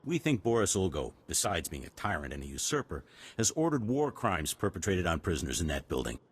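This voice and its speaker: Game news editor, very low husky voice, brisk, businesslike, determined. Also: male voice, sing-song, full character voice. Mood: determined, candid. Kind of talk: video game voice acting.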